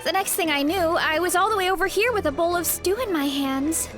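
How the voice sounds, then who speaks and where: A sweet, earnest young woman from a video game, with a high voice. She sounds polite and apologetic, and light music plays underneath. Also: fairly quick. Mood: flustered. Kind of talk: animated video game voice acting.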